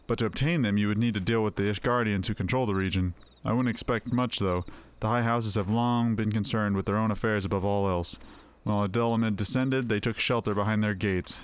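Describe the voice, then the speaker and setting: Man voicing a measured fantasy advisor. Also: fairly low pitch, clear, resonant tone, natural voice. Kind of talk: acted fantasy game dialogue. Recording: music behind the voice.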